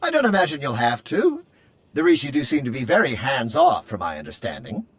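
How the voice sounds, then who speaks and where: Prim droid with swooping intonation. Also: male voice, medium pitch, wildly swooping pitch, a little fast, husky, no room sound. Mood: helpful, fussy. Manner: prim, formal, theatrical. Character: fussy, polite, bloodthirsty male droid. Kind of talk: video game voice acting.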